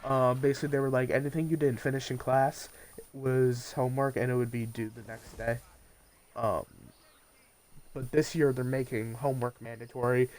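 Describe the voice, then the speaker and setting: A man's voice: mid-range voice, little pitch movement, moderate pace, a little mellow. A teenage male streamer with a congested, nasal voice, chatting casually and rambling as he plays. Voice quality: nasal, congested from post-nasal drip. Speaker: video game streamer. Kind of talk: casual chat while gaming on a livestream.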